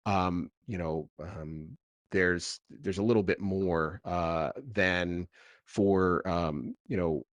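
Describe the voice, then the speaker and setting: A man with a deep voice talks as a tech founder being interviewed. He explains things casually and haltingly, often repeating words as he goes, in a dry recording with very little room sound. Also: fairly even intonation, no room sound, dull audio. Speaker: tech founder as podcast guest.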